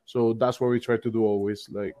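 A man's voice: fairly low pitch, level tone, a little fast, mellow. Young man, loose rambling talk. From Colombia. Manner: loose, repetitive phrasing with many likes and restarts. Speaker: guest, card game store player. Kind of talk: casual interview over call.

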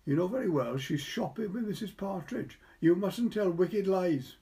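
A man's voice: sits high, animated, moderate pace, vocal fry, warm tone, voice pushed up. A man voicing a bossy, indignant young woman who scolds in a storybook reading. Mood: scolding.

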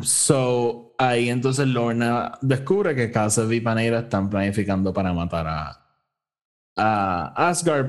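A Puerto Rican man co-hosting a podcast, casually recapping a story and slipping between Spanish and English. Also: fairly low pitch. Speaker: podcast co-host.

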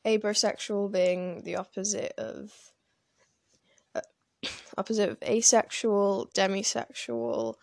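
A woman who grew up in France speaks slowly and unhurriedly into her phone, her voice sitting at a middle pitch. She rambles a little, pausing and reaching for her words as she goes, and the sound is dry and close with no room around it. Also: big pitch swings, creaky, darkish tone, no echo. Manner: hesitant, rambling, many pauses and fillers. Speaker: vlogger. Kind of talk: casual personal talk to camera.

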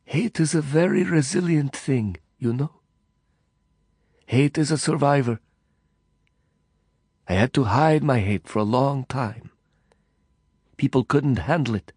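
A man narrating an audiobook voices a bitter refugee consumed by hate, speaking with quiet feverish zeal and American vowels touched by Arabic. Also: mid-range voice, slow, long pauses, husky, dry recording, clean studio sound, voice pushed up. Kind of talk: audiobook dialogue reading.

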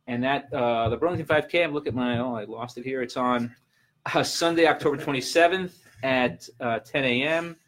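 A man of sixty-one talking casually as an interview guest, halting, often repeating words and trailing off. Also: medium pitch, fairly bright tone. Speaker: guest, land trust representative and gym client. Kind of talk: casual unscripted interview chat.

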